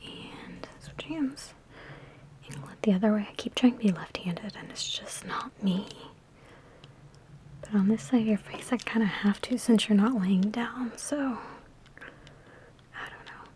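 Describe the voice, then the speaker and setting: A woman in an ASMR roleplay speaking in a soft, breathy whisper mixed with hushed talk, with a sassy, teasing, chatty big-sister manner, close to the microphone. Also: medium pitch, unhurried, long pauses. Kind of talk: ASMR personal attention roleplay.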